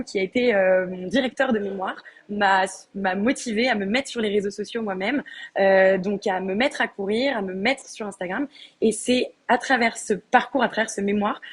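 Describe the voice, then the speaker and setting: A young woman cofounder joining a panel by video call, telling her story in a lively, chatty way. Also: mid-range voice, breathy edge. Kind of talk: panel talk, personal story.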